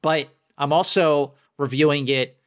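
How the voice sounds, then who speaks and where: Male guest critic, slightly high-pitched, casual talk, emphatic with halting repetitions. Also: steady tone, fairly bright tone. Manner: halting, emphatic, repeats words. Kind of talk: casual conversational discussion.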